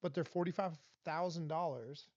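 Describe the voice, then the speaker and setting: A man from Los Angeles in his forties, talking as a guest in a casual interview, emphatic and animated. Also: fairly high pitch, moderate pace, no echo. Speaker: guest, vendor advocate. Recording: dull dry podcast audio.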